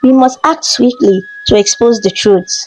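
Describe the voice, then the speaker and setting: A woman's voice: on the high side, moderate pace, a little mellow, faint music behind, natural voice, low-quality recording. A woman voicing a determined, impatient young princess in a storybook tale, with earnest, formal declarations. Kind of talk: storybook character acting.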